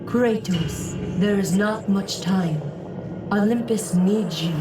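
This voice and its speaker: Slow, echoing, commanding goddess. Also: female voice, mid-range voice. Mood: stern, urgent. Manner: solemn, measured, authoritative. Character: stern, commanding goddess, solemn and regal. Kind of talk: dramatic video game acting. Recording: echoey, distant, loud music behind.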